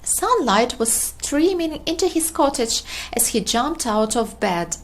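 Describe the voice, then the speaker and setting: Slow, high-pitched, animated woman storyteller. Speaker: storybook narrator. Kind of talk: expressive read-aloud for young children.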